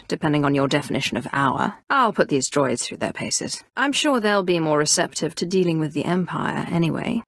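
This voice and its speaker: A woman playing an imperious, calculating Sith sorcerer in a video game, with a measured, haughty and coolly threatening delivery. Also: on the low side, no room sound, studio quality. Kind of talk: scripted game character dialogue.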